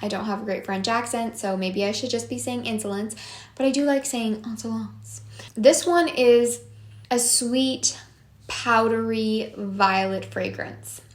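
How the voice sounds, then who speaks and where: A young woman reviewing perfumes in a casual, gushing vlog, her voice a little hoarse from a long day of filming. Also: a little high. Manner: chatty, unhurried and gushing. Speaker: beauty vlogger. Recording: echoey room.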